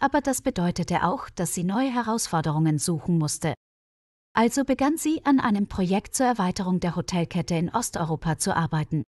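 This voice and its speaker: Woman reading a story for learners, careful diction, medium pitch, really dry sound. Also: darkish tone, dry recording. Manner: carefully enunciated, read-aloud delivery. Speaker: narrator. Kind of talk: scripted story narration for language learners.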